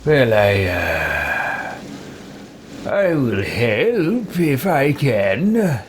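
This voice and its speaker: A man voicing a doddering, self-regarding old fantasy hero in a game, halting and muddled. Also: mid-range voice, big pitch swings, a little hiss or hum.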